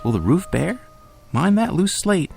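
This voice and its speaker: Man doing squeaky-high, bossy little animal workmen. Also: big pitch swings, a little slow, creaky, heavily acted. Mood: bustling, bossy. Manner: bustling, shouting instructions. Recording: loud music under the voice.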